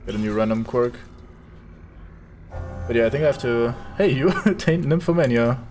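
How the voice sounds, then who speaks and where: A man's voice: mid-range voice, expressive, normal speed, darkish tone, soft background music. A man from Germany with a German accent, giving casual, sarcastic commentary as he streams a game and swears at it.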